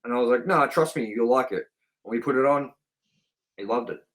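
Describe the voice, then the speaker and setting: A man's voice: medium pitch, brisk, fairly bright tone, rough recording. A man hosting a casual film review chat, speaking in an informal, chatty way with some swearing. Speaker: podcast host and film reviewer.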